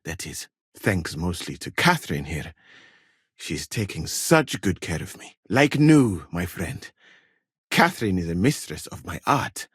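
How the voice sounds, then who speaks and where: Game role: proud, wry scholar-physician, formal courteous phrasing, husky and expressive, recorded dry. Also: male voice, fairly low pitch, dry recording, heavily acted. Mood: wry, warm. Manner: formal, measured, uncontracted speech with wry politeness. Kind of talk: video game character dialogue.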